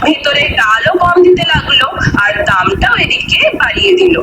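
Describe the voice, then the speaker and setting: A woman's voice: high-pitched, lively intonation, moderate pace, reverberant, heavy background noise. A woman narrating a cartoon moral story from a script. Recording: loud music behind the voice. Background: loud music.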